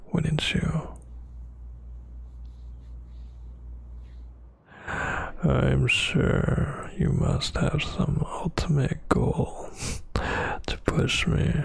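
A man playing a brooding, dominant vampire boyfriend in an ASMR roleplay, scolding haltingly in a husky, breathy near-whisper. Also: on the high side, no echo, heavily acted. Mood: menacing, taunting.

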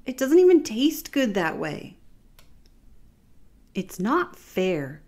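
A woman voicing an excitable, stubborn young boy capybara in a storybook, whiny and emphatic. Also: medium pitch, slow pace, voice pushed up. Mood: upset, whiny.

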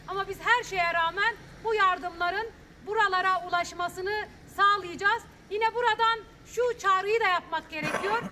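A woman in her thirties giving an earnest press statement outdoors in front of rubble, with halting restarts. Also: extremely high pitch, bright, forceful tone, slight background noise.

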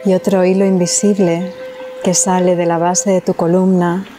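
A woman from leads a guided meditation in a calm, soothing way, leaving long pauses between phrases. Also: lowish voice, over music.

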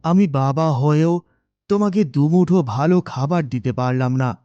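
A man voicing a humble, devout poor father in a moral cartoon, consoling and gently sorrowful. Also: on the high side, no room sound, lo-fi sound, natural voice. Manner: slow, consoling.

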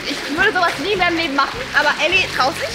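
A woman's voice: very high voice, racing. A young woman chatting casually in an indoor swimming pool hall. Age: young adult. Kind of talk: casual chat. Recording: music and splashing behind. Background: music, water splashes.

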